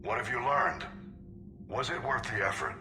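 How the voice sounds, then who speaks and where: Male, game role: older, steady admiral, acted by a man, medium pitch, brisk authority, echoey transmission with clear music. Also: lightly acted. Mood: probing, concerned. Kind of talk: video game cinematic acting.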